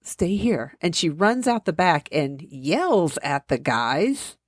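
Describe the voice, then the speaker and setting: A woman's voice: low voice, very animated, slow pace, no room sound, clean studio sound. A woman co-hosting a podcast, recapping a movie in casual chat, laughing often and acting out the characters' lines. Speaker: podcast co-host.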